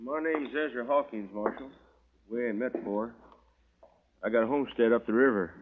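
A man's voice: mid-range voice, moderate pace, background noise, close to an everyday voice. Man playing a folksy homesteader, tinny old radio. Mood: insistent. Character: plain-spoken, insistent male homesteader. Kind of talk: 1950s radio western acting. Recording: tinny, narrow-band old radio recording.

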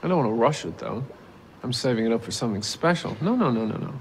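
A man plays a playful criminal mastermind, all sing-song menace. His pitch leaps and dips freely, and he drops to a whisper or bursts into a shout. It is theatrical TV drama villainy. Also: expressive, quick pace, a little hiss or hum, lightly acted. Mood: taunting. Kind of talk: film-style TV drama acting.

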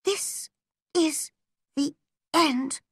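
Woman acting spirited, high-pitched young girl. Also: lively intonation, slow, sharp tone, no room sound, studio quality, exaggerated voice. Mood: despairing. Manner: emotional, emphatic exclamations. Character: spirited, proud, quick-tempered young girl.

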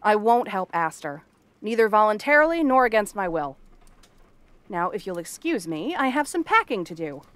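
A woman performs a cursed princess living as a frog girl, in an ASMR fantasy drama. She is cranky and bratty, with a theatrical, haughty delivery. Her voice sits at a medium pitch and leans on sarcasm. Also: sing-song, normal speed, a bit bright, no echo. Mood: irritated, dismissive. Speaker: voice actress. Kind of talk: ASMR fantasy roleplay monologue.

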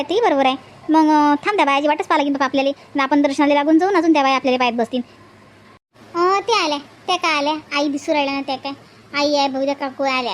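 A high-pitched, bossy village auntie acted by a woman from a comic cartoon, with a Vidarbha Marathi accent. She chatters quickly and nags, saying things over again, over faint background noise. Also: lightly acted. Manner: chattering, nagging, repetitive. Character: bossy, chatty village aunt herding a family pilgrimage. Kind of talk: exaggerated comic cartoon dialogue.

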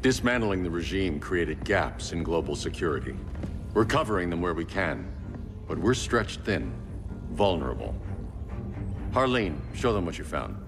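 An actor playing a brooding, commanding vigilante team leader in a video game, speaking in a terse, grave, measured way. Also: male voice, low voice, very animated, music in the background. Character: brooding, commanding masked vigilante crime-fighter leading a team. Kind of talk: cinematic video game acting. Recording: long hall reverb, loud score behind.

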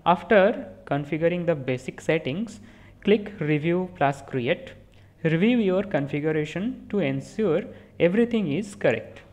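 An instructor talks the listener through a software setup in a plain, mid-pitched voice. The delivery is methodical, step after step, with the odd quick self-correction. It is a voice-over recorded apart from the screen capture. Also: lively intonation. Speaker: tutorial instructor.

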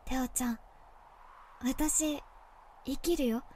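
A woman playing a wistful, resolute girl in an audio drama, speaking softly with dramatic pauses. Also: sits high, steady tone, slight background noise. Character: wistful, resolute girl who has turned man-eater. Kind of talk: Japanese audio drama acting.

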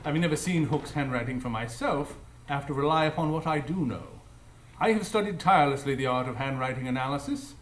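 A man's voice: mid-range voice, fast talker, natural voice. Man acting a formal, echoey master detective. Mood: assured. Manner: formal, precise, assured. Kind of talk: live radio drama acting. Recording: echoey live stage.

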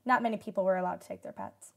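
A woman's voice: fairly low pitch, very animated, rapid-fire, creaky, fairly bright tone, full character voice. A young woman playing a solemn nineteen-year-old disaster survivor giving testimony in a radio drama. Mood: somber, reflective. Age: young adult.

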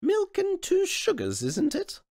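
A posh, imperious old queen played by a man in a shrill storybook falsetto. The voice swoops grandly and over-enunciates with pompous, snappy authority. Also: huge pitch swings, a little slow, darkish tone, no echo, studio quality, heavily acted. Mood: grandly pleased. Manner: pompous, over-enunciated, refers to self as "one".

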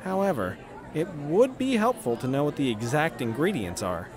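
A man's voice: fairly high pitch, very animated, normal speed. A man voicing a nerdy, analytical teenage boy with formal, precise speech in a game dub. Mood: studious. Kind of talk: game fandub acting. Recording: cafeteria crowd noise behind.

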